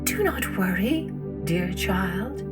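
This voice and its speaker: A woman voicing a kind, gentle fairy in a children's tale, soothing and lilting. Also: mid-range voice, lively intonation, takes it slowly, loud music behind the voice. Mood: comforting.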